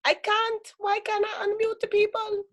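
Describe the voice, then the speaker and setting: A woman teaching an energy healing class on a video call, chatty and animated, with lots of fillers. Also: extremely high pitch, normal speed.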